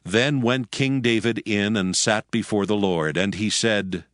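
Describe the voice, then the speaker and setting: Low voiced guy narrating bible, steady formal, dry close sound. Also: measured pace, no echo. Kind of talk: scripted scripture narration.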